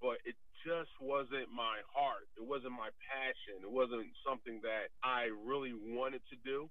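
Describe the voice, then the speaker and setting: A man's voice: medium pitch, steady tone, unhurried, no room sound. A man from New Jersey, a sports league co-CEO, earnestly telling his life story over the phone, with many filler phrases. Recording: tinny phone line.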